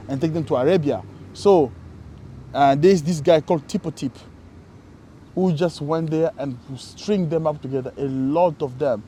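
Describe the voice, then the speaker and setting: Mellow-voiced man, reflective and halting. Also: fairly high pitch, slight background noise. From Congo. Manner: reflective, halting with repeated restarts. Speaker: co-host. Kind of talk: casual conversation.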